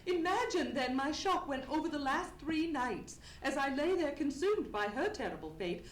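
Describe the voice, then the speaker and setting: Woman playing a frightened high-voiced young gentlewoman. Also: lively intonation, moderate pace. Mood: shaken, urgent. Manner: formal, dramatic storytelling. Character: frightened, grieving young gentlewoman recounting her terror. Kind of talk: live old-time radio drama acting. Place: theatre stage. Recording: echoey theatre stage, noisy live recording.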